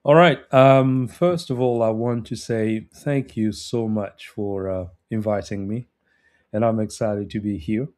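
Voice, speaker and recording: A man from the Republic of Congo, introducing himself as a podcast guest in a casual, measured, slightly hesitant way. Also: fairly low pitch, darkish tone. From Republic of Congo, later South Africa. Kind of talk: casual conversational self-introduction.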